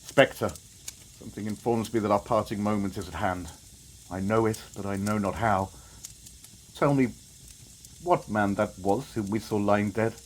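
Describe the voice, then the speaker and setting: An actor playing an old Victorian miser in an audio drama, earnest and theatrical, with formal old-fashioned phrasing. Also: male voice, on the low side, moderate pace, pauses often, a little hiss or hum, lightly acted, low-quality recording. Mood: fearful, solemn. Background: music.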